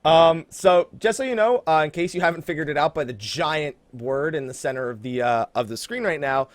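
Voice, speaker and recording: Animated American man hosting a gaming podcast. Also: fairly high pitch, brisk, no room sound. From New Jersey, USA. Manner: jokey, informal, breaks off to laugh and ad-lib. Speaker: podcast host. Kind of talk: casual gaming podcast talk and announcements.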